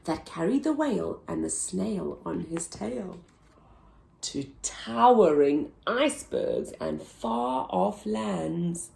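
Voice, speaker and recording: A woman reading a rhyming picture book aloud to children in a slow, sing-song way. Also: fairly low pitch, sing-song, vocal fry, darkish tone. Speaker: children's story reader.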